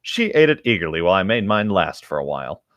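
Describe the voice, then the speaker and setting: A man's voice: sits low, sing-song, quick pace, fairly bright tone, dry recording, clean studio sound. A man chatting casually and reading a story aloud among friends. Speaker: podcast co-host.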